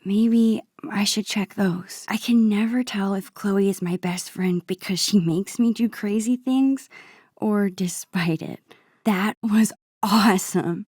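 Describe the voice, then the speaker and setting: A woman playing a soft-spoken, introspective teenage girl in a video game, wistful and hesitant, with a whispery voice. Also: medium pitch, slow pace, husky, no echo, studio quality. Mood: wry, amused. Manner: hesitant, gentle, musing to herself. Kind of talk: naturalistic video game dialogue and inner monologue.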